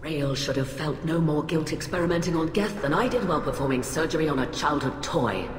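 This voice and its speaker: An actress playing a cold, haughty alien admiral in a video game, speaking in a clipped, formal, condescending way. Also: female voice, low-pitched, brisk, natural voice. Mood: haughty conviction. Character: cold, haughty alien admiral, female, middle-aged scientist, ruthlessly rational. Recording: echoey hall reverb, music behind.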